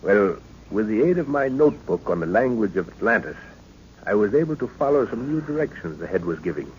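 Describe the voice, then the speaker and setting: Scholarly explorer, low pitch, measured explanations, authoritative, middle-aged, old radio serial, dull muffled sound. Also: male voice, sing-song, darkish tone, a little hiss or hum. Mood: explaining. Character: learned professor leading an African expedition, calm authoritative father. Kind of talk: old-time radio drama acting.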